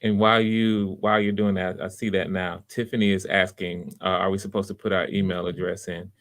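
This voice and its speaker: A man hosting live auditions in casual, unscripted chat, with an encouraging manner and a halting way of repeating and backtracking. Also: sits low, little pitch movement, no room sound. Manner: encouraging, supportive. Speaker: host running live voice auditions.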